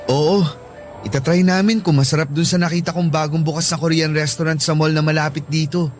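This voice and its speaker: An actor playing a sweet, easygoing young student suitor in a Filipino radio drama, casual and earnest, with a Filipino accent. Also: male voice, a little high, fairly even intonation, brisk, faint music behind, close to an everyday voice. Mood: lighthearted.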